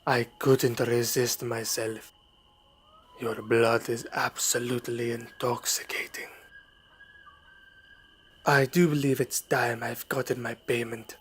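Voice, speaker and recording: A suave vampire played by a man speaks in a mid-range male voice at a slow, deliberate pace. He is formal and courteous, with quiet menace beneath the politeness. It is an ASMR roleplay with soft forest ambience behind the voice. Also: medium pitch, flat delivery, a little hiss or hum. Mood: coolly menacing. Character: suave, sinister male vampire luring a traveler. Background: night forest ambience.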